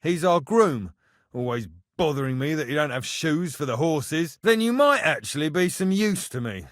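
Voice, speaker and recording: An actor playing a blunt, sardonic medieval castle blacksmith in a video game, mocking and easily exasperated. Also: male voice, a little high, animated, moderate pace, no echo, clean studio sound, close to an everyday voice. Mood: instructing, wry. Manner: blunt, sardonic, exasperated, rough colloquial phrasing. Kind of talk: video game character dialogue.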